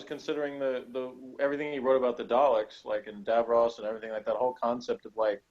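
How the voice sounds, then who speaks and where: A man's voice: mid-range voice, darkish tone, dull audio. A man chatting casually and offhandedly as a co-host of a review show, in a dry manner. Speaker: podcast co-host reviewing a TV episode. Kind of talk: casual chat.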